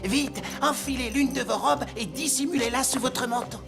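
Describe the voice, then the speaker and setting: A woman voicing a small, excitable and cheeky creature sidekick in a fairy-tale cartoon, playful and exclamatory. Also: sits high, normal speed, creaky, music in the background. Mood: urgent. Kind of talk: animated fairy-tale dub.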